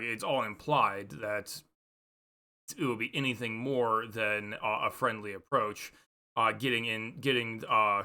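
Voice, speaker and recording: A man with a very bright, forceful voice at a middling pitch talks through a casual book review. He rambles and halts as he thinks aloud, stating opinions and then qualifying them.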